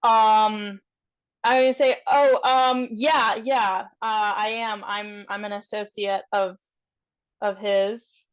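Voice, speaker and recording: A woman on slightly muffled, lo-fi audio plays a tabletop roleplaying game. Her pitch is average, and she improvises casually, tentatively trailing off as she describes what her character does. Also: fairly even intonation, slow pace.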